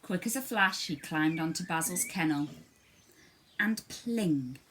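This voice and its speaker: A woman narrates a children's storybook with a fairly low voice and very lively intonation. She tells it dramatically, with faint noise on the recording. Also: unhurried, poor audio. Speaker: storybook reader.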